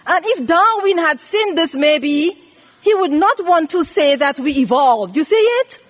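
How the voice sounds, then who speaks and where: A woman's voice: very high-pitched, expressive, a little slow. A woman in her eighties preaching a scripture lesson, emphatically repeating phrases and putting questions to her listeners. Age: 80s. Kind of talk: sermon teaching from scripture.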